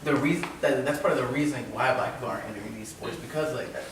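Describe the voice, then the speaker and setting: A young man talking fast and casually with slang in a group discussion. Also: medium pitch. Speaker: student panelist. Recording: very echoey room, mic far off, noise.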